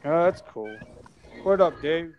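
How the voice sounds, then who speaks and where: A man's voice: fairly high pitch, animated, unhurried, highs cut off, a little hiss or hum. Chatty inquisitive man in a group call. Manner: chatty, asks lots of questions. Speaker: regular hangout participant. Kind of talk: casual online group chat.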